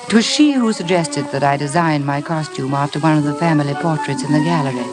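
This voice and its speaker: An actress playing a timid, naive young bride, earnest and emotional, in a 1950s radio drama. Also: female voice, deep voice, fast talker, natural voice. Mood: haunted, reflective. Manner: earnest, hesitant, breathlessly emotional. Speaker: lead actress and narrator of a radio play. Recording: vintage radio broadcast with orchestral music.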